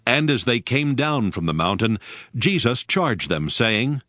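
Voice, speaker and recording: A man narrating dramatized scripture in a measured, formal storytelling manner. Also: mid-range voice, big pitch swings, fairly bright tone, dry recording, clean studio sound. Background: music.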